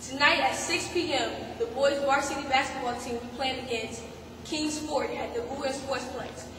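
A woman's voice: high voice, fairly even intonation, moderate pace. A teenage girl giving school sports news in a gym, upbeat and chatty. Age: teens. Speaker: student sports reporter. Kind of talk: sports announcements. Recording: echoey gym from far off with noise.

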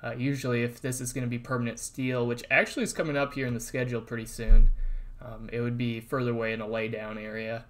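Man, mid-pitched, casual voice-over narration, relaxed explaining, lots of ums and uhs. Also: fast talker. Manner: relaxed, explanatory, with frequent fillers. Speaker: construction update host. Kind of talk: casual narrated site update over drone footage. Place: voice-over, not recorded on site.

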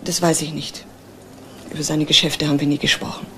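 A woman's voice: low-pitched, flat delivery, a little fast, bright, forceful tone, a little hiss or hum. A woman acting the part of a professor's anxious, defensive daughter in a German crime radio drama, hesitant and pleading. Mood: defensive, strained.

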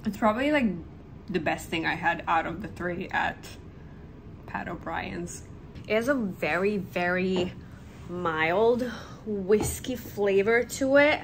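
A woman in her thirties chatting casually and haltingly to a handheld camera in a hotel room, as a travel vlogger. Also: on the low side, gravelly, rough recording. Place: small indoor hotel room. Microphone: phone or camera at arm's length. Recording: noticeable background noise.

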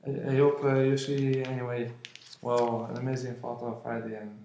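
A man in his twenties from Italy, speaking English with an Italian accent, unboxing an album at home, halting with many fillers and apologies. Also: mid-range voice, flat delivery, mellow, roomy sound, a little hiss or hum, poor audio. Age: 20s. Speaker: vlogger. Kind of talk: casual unboxing vlog. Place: room at home, indoors. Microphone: webcam at arm's length.

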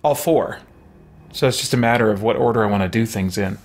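A man's voice: on the low side, a little fast. A middle-aged man giving casual gameplay commentary, musing and thinking aloud as he streams. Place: small room with shelves. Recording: faint background noise.

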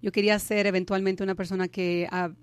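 A woman in her thirties, born in Mexico, speaking as an interview guest and telling her story candidly with pauses and restarts. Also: medium pitch, little pitch movement, clean tone, no room sound. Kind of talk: conversational interview.

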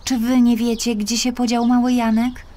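A woman's voice: a little high, level tone, normal speed, warm tone, slight background noise, pitched above the performer's own voice, natural voice. A woman voicing an earnest, anxious little girl in a fairy tale, pleading and wondering with emphasis.

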